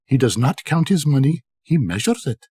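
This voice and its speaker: A man's voice: mid-range voice, big pitch swings, moderate pace, warm tone, breathy edge, no echo, clean studio sound, voice pushed up. An older man voicing a sly, envious wife from an old folktale, with emphatic, theatrical delivery. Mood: needling, boastful.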